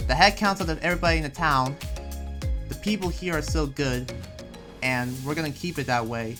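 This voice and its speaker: Guy acting as a hardworking town boss in anime roleplay, preachy but friendly, kinda halting, loud music under him. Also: a little high. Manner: halting, rambling, informal advice-giving. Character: earnest hard-working town leader, preachy but friendly. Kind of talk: improvised Minecraft anime roleplay.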